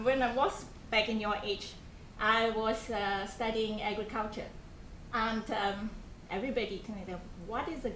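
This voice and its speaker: A woman's voice: on the high side, a bit bright. A woman in her thirties with a Malaysian accent gives an informal talk to students, asking them questions and restarting her sentences often. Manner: conversational, asks the audience questions, frequent restarts and fillers. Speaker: programme coordinator giving a talk to students. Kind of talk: informal presentation to a student group. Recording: echoey room, faint background noise.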